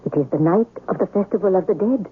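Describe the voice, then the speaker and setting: An actress playing a devoted, cautious housemaid in a 1970s radio drama, deferential and earnest. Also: female voice, mid-range voice, fast, slight background noise, lightly acted. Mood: respectful, cautious. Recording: phone-like vintage radio.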